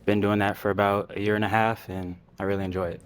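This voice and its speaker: A man's voice: on the low side, little pitch movement, fast talker, smooth. A man introducing himself on a dating show, plain and matter-of-fact.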